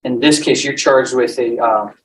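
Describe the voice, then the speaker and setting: A man prosecutor with a mid-pitched voice, heard a little way from the mic over faint noise. His questioning is formal and halting. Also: fairly even intonation. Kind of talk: courtroom questioning. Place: courtroom. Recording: distant, faintly noisy courtroom audio.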